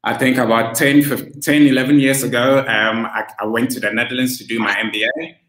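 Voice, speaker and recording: A man in his thirties from Ghana, a relaxed interview guest who talks with many fillers. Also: medium pitch, brisk. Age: 30s. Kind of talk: casual interview over a video call. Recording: roomy echo.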